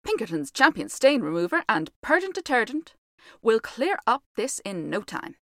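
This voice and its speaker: A brash young man boasts loudly and eagerly, as a woman reading a storybook puts him on. The voice is pitched up and dry, recorded close. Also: sing-song, normal speed, dry recording. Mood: cocky. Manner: brash, triumphant.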